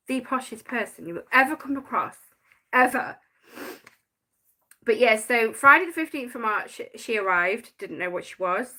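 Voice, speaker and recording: A woman's voice: mid-range voice, bright, forceful tone. A woman, chatting casually on a live stream about her notes and laughing often. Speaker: paranormal channel host.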